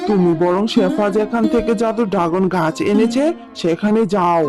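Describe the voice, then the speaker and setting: A woman's voice: medium pitch, normal speed, over music, voice pushed down, natural voice. A woman voicing a greedy tiger husband, a landlord, scheming and prodding, in a cartoon fable. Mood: greedy surprise. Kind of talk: Bengali cartoon fable dubbing.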